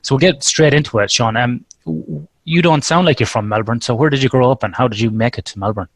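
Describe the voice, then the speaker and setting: A man's voice: mid-range voice, fast talker, no room sound. A man hosting an interview podcast, friendly and chatty, asking long, rambling questions. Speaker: podcast host. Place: Western Australia.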